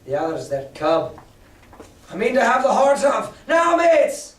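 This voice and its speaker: A man's voice: very high-pitched, wildly swooping pitch, unhurried, voice pushed up, full character voice. A man voicing an angry pirate who roars and screams accusations at his old captain. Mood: rousing. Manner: roaring, screaming, drawn out. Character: furious rough male pirate, loud and accusing. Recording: echoey room from far off.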